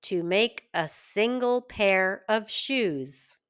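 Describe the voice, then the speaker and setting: A woman reading a children's fairy tale aloud in an expressive, deliberate storytelling manner. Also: medium pitch, smooth. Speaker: children's story reader, teacher.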